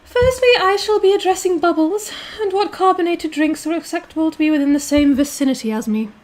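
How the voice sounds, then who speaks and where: A British woman playing a bossy, condescending aristocratic girlfriend called a duchess, speaking imperiously to her livestream viewers. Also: sits high. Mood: scornful, imperious. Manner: imperious, pompous, condescending, drawn-out emphasis. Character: haughty, bossy aristocratic dominant girlfriend calling herself Duchess. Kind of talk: in-character roleplay livestream, reading and answering chat.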